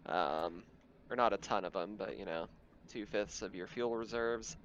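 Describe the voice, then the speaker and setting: A man's voice: fairly low pitch, normal speed, a bit bright, muffled recording, slight background noise. A man running a tabletop game as game master, mixing casual narration with banter among players.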